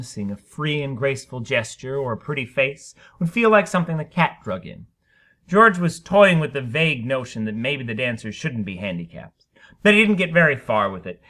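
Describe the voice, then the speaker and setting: A man narrates a short story in a dramatic reading. His voice is bright and forceful, with lively, swooping pitch that keeps the scripted prose animated. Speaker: story reader.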